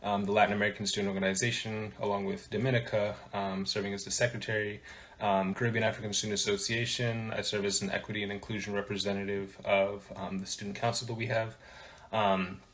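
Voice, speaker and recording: A college student in his twenties giving a brisk self-introduction, reading through a list. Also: on the low side, flat delivery, fairly bright tone. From Maryland, Haiti, grew up in Rwanda and Cameroon. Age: 20s.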